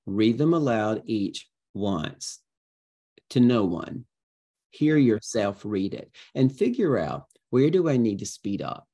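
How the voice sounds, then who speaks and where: Mellow, low-voiced man explaining expressively. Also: slow, slightly rough. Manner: expressive storyteller, emphatic, illustrative. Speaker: guest, author and educator. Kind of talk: conversational interview answers with acted-out examples.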